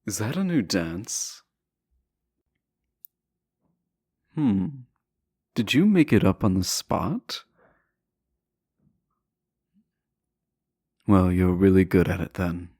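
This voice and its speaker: A man playing a teasing, self-assured deposed prince in a romantic audio roleplay, speaking softly and deliberately with courtly, formal phrasing. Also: sits low, lively intonation, no room sound, studio quality, exaggerated voice. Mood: amused, pleased. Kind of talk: romantic audio roleplay acting, addressing the listener.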